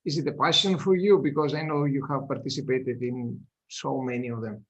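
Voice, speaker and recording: A man hosting a podcast interview, asking questions eagerly and warmly, with somewhat nonstandard English phrasing. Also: medium pitch, fairly even intonation, brisk, muffled recording. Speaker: podcast host. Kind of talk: casual interview conversation.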